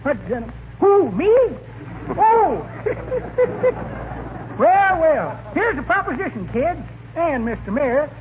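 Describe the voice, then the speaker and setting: An actor playing an excitable, pushy old man in a 1940s radio comedy, talking in a folksy, familiar, exclamatory way. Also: very high voice, slow, pauses often, exaggerated voice. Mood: eager, folksy. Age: older. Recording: dull, noisy 1940s radio recording.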